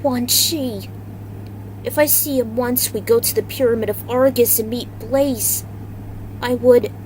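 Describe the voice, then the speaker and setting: Woman reader as devoted young female fighter, earnest, emotional, high-pitched, noisy recording. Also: steady tone, unhurried, a little mellow, close to an everyday voice. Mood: whimpering. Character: slim, timid, compassionate, devoted female warrior.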